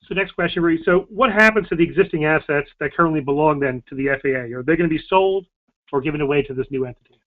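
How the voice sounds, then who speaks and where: A man moderates a question-and-answer session in a casual style. He talks quickly in a slightly higher than average voice. The audio is thin and boxed in, like a telephone. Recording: tinny, phone-like band-limited audio.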